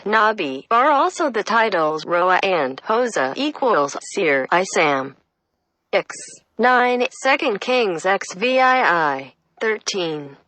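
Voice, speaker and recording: A woman reading a reference text aloud, deliberate and flat, spelling out citations. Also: mid-range voice, no echo, poor audio. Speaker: reader.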